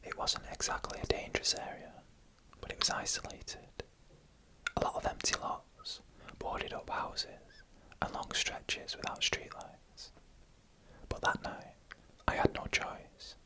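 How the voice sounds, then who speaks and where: An ASMR narrator tells eerie tales entirely in a whisper. The sound is bright and sibilant, with no voiced tone. The delivery is hushed and deliberate, close on the microphone. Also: rough recording. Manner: slow, hushed, deliberate storytelling in an unvoiced whisper. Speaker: ASMR storyteller. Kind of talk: whispered ASMR narration of scary first-person stories.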